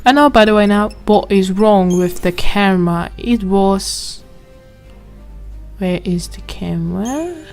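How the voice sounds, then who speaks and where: A woman's voice: medium pitch, animated, slow pace, darkish tone. A woman with a German accent, chatting and reading game text aloud as she plays. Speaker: gameplay commentator. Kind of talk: let's play commentary. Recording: game music behind.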